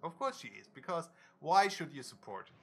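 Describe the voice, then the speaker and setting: A man casting a video game match on his own, critical and dry, with frequent ums and pauses. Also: fairly high pitch, wildly swooping pitch, vocal fry, slightly rough. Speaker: game caster. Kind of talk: casual solo game commentary.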